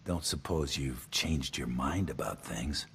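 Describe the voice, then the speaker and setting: Very deep, gravelly bounty hunter. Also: male voice, a little slow, fairly bright tone, a little hiss or hum, heavily acted. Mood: earnest, wanting. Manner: laconic, dry. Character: gruff, flirtatious male gunslinger bounty hunter, laconic. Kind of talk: video game voice acting.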